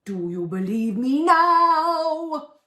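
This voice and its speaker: A woman's voice: high-pitched, sing-song, drawn-out words, pushed and bright, pitched above the performer's own voice, heavily acted. An older woman voicing a boastful, monstrous goblin king with grand, threatening declarations, in a storybook reading. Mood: boastful, menacing. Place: indoor room at home, by lit candles. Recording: roomy echo.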